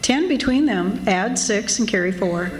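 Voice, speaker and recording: A woman's voice: mid-range voice, expressive, measured pace, close to an everyday voice. A woman playing a sensible, long-suffering wife in a 1940s-style radio comedy, teasing and scolding with dry exasperation. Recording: live stage recording with background noise.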